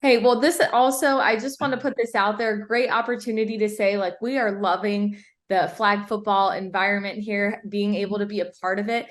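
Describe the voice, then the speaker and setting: A woman's voice: medium pitch, steady tone, normal speed, hardly any pauses. A woman co-hosting a sports show, chatting upbeat and conversational. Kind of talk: casual show chat.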